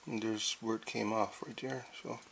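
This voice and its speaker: A man's voice: fairly low pitch, flat delivery, normal speed, vocal fry. A middle-aged man in a cluttered home workshop, talking through his toolmaking in a rambling, matter-of-fact way, with half-muttered asides into a handheld camera. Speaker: hobby toolmaker. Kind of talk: workshop tutorial. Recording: loud workshop noise behind.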